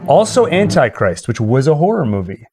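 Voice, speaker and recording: A man's voice: mid-range voice, big pitch swings, normal speed. A man hosting a live stream with casual, playful banter, slipping into announcer-like score calls. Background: music.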